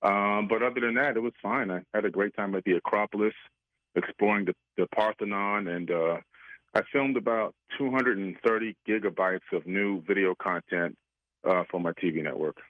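A man in his fifties talks on a radio call-in, chatty and rambling, laughing easily between thoughts. His voice is low and comes through a tinny phone line. The sound is dry. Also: moderate pace, no room sound. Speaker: regular guest, researcher.

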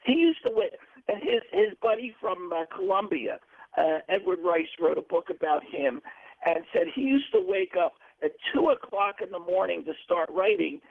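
A man's voice: high-pitched, sing-song, normal speed, vocal fry, dry recording. A man calling in to a radio show, effusive and rambling, stumbling over his words. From Pennsylvania. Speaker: caller. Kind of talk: call-in radio question. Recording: dry tinny phone line.

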